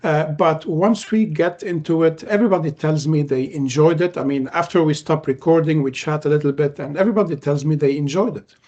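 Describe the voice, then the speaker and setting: A man from Lebanon, Arabic his first language, hosts a podcast chat in a voice pitched somewhat high. He talks in measured phrases, often pausing on "uh" as he gathers his thoughts. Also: a little mellow, lo-fi sound. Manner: measured, frequent "uh" pauses. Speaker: podcast host. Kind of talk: casual podcast conversation.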